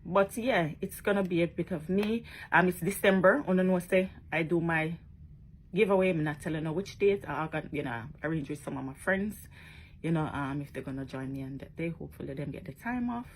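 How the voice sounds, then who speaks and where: A woman's voice: low voice, brisk, slight background noise, rough recording. A woman talking casually to her followers in a vlog, chatty and emphatic, often tagging on questions. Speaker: social media blogger.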